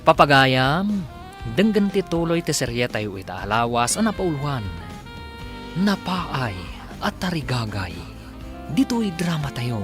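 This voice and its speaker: A man's voice: on the high side, big pitch swings, measured pace, pauses often. A man narrating a radio drama as its announcer, reading a scripted introduction. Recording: music under the voice. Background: music.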